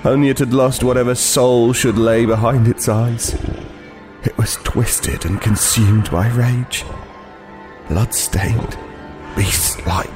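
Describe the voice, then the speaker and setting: An actor playing a haunted, remorseful homeless killer, narrating a horror audio drama gravely and with anguish. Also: male voice, medium pitch, fairly even intonation, takes it slowly, over music, close to an everyday voice. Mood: horrified.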